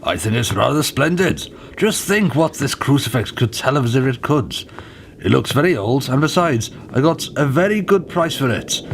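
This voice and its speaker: A man playing a gravelly, stubborn father. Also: normal speed. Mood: cheerful. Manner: gruff, paternal, lecturing. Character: proud, stubborn, old-fashioned working-class Welsh father. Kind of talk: 1940s-style radio play acting. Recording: steady loud rumbling noise behind.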